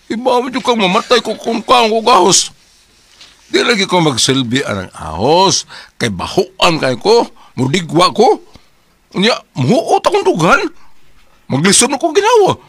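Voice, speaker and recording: A man's voice: high-pitched, sing-song, measured pace, fairly bright tone, breathy edge, heavily acted. A man playing an anxious, stubborn husband in a comedy drama, exclaiming animatedly with a Cebuano accent. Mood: worried, complaining.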